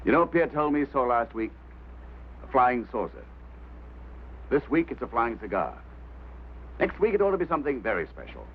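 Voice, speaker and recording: Thin hissy old film audio, impatient company boss, commanding, dismissive, swooping intonation. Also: male voice, medium pitch, big pitch swings, moderate pace, pauses often, narrow, tinny audio. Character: brusque, impatient, skeptical mining company boss. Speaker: actor. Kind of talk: mid-century screen drama acting.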